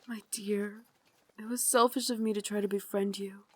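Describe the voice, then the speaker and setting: A woman voicing a tender, guilt-ridden young Victorian vampire lady in an intimate ASMR roleplay, with formal, dramatic, confiding phrasing that slips into breathy whispers. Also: medium pitch, little pitch movement, a little slow, natural voice. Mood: regretful, urgent.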